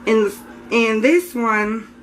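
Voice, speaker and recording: A woman's voice: on the high side, extremely slow, faint music behind, poor audio. Congested woman chatting casually. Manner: chatty, informal, a bit unsure, self-correcting. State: congested, scratchy voice. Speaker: home soap maker. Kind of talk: casual show-and-tell vlog. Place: home kitchen.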